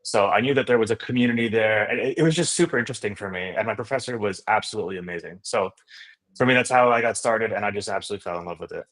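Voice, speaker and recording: Low-pitched man telling his story. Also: rapid-fire, a bit bright. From Indonesia. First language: Indonesian. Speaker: podcast guest, startup founder and investor. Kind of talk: casual interview storytelling.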